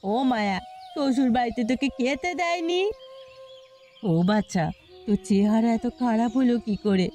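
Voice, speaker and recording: A woman's voice: a little high, normal speed, long pauses, mellow, faint music behind, natural voice. A woman voicing a poor, anxious village mother in a cartoon, plaintive and fretful in the way she talks. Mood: anxious concern. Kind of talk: cartoon dialogue acting.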